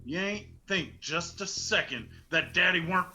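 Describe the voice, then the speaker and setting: A man's voice: high voice, expressive, moderate pace, sharp tone, soft background music, heavily acted. An actor playing a hot-tempered, suspicious mountain man in a radio drama, brusque and challenging, with an Appalachian accent. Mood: irritated.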